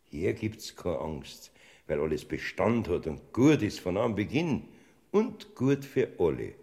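An actor playing Saint Peter as a fussy but kindly older heavenly gatekeeper, with a Bavarian accent, in a classic radio play. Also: male voice, low voice, very animated, unhurried, warm tone, full character voice. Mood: soothing. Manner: soothing, turns flustered and bossy.

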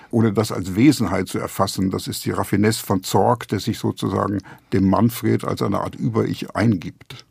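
A man with a fairly low, heavily creaky voice talks as a novelist in a literary interview, in a close, dry sound. He explains his thinking in in long, reflective, intellectual sentences. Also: a little mellow, dry recording. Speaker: novelist guest discussing own book.

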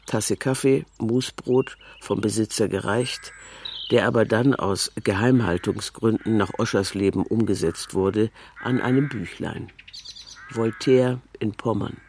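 A man reading scripted literary narration in a deliberate, matter-of-fact manner. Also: medium pitch, darkish tone, slight background noise.

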